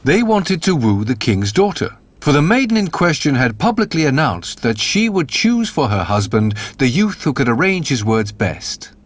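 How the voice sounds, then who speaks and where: A man's voice: mid-range voice, breathy edge. A man narrating a scripted fairy tale as a storyteller.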